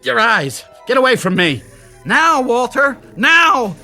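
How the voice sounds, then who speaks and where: An actor playing a troubled man in a radio drama, swinging between frantic and gentle. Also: extremely high pitch, big pitch swings, slow pace, faint music behind, heavily acted. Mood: frantic, urgent.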